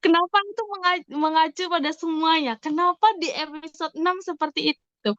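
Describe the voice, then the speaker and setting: An Indonesian woman chatting casually as a podcast co-host, asking questions in a halting way and repeating her words. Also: very high-pitched, steady tone, a bit bright, no echo, muffled recording. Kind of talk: casual chat.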